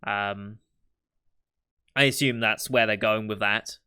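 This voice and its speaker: Male reaction host, bright clear voice, speculative casual commentary. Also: lowish voice, fairly even intonation, slow pace. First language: not Japanese. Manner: thinking aloud, speculative, with soft laughs.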